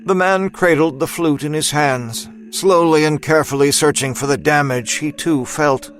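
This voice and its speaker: A man narrating a scripted dramatic story with deliberate, literary phrasing. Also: fairly high pitch, slight background noise.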